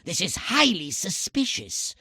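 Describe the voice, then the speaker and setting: A man's voice: high voice, sing-song, unhurried, bright, forceful tone, slightly rough, no echo, clean studio sound, exaggerated voice. A man voicing a scheming, pompous alien commander in a video game, imperious, sarcastic and melodramatic as he gives orders. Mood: scheming, instructing. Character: shrill, bossy, scheming alien commander giving mission orders. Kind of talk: theatrical video game character acting.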